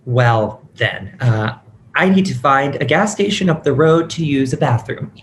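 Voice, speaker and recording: A man's voice: medium pitch, expressive, unhurried. Wounded, confrontational challenger played by a man, mid-range voice, dramatic table read with faint background noise. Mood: uneasy, accusing. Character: hurt, outspoken transgender person confronting a preacher.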